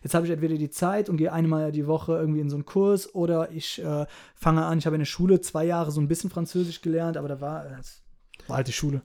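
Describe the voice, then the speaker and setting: A man's voice: on the high side, steady tone, fast talker, a little mellow. A young man, a rapper, answering interview questions in a thoughtful, hesitant way with many fillers. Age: young adult.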